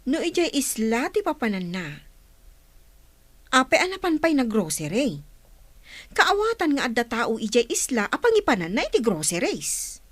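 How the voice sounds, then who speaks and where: Excitable, high, swooping female onlooker. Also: moderate pace, no echo. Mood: excited, speculating. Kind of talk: Philippine radio drama acting.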